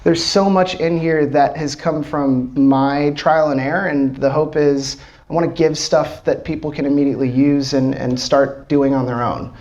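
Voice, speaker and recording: A man's voice: a little high, steady tone, normal speed, a little mellow, highs cut off. An American man from Washington, D.C., a startup founder giving a casual live presentation to a small audience, talking loosely with lots of fillers and casual swearing. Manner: loose and chatty, many fillers, swears freely.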